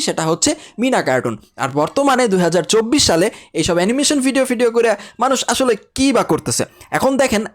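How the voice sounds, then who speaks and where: A Bangladeshi man gives a casual tutorial lesson at a quick pace. His pitch moves up and down a lot as he addresses learners directly, chattily repeating his instructions. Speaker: animation teacher.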